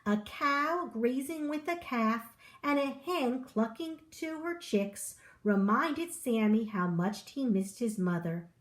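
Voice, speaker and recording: A woman reading a children's picture book aloud, with an expressive storyteller delivery. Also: fairly high pitch, takes it slowly, hardly any pauses.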